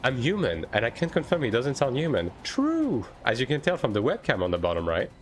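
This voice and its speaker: A man's voice: medium pitch, wildly swooping pitch, brisk, a little hiss or hum. A man in his thirties from France, speaking English with a French accent in a casual chat with his live-stream viewers, often swearing and restarting his sentences. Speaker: game streamer.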